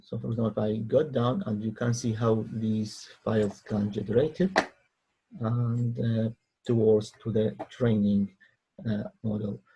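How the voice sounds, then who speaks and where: A man who speaks English as a second language narrates a software tutorial as a researcher, in a halting way with hesitant pauses. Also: on the low side, level tone, slightly rough, rough recording. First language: not English. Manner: halting, non-native phrasing with hesitant pauses. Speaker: researcher demonstrating own software. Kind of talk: tutorial screen-recording narration.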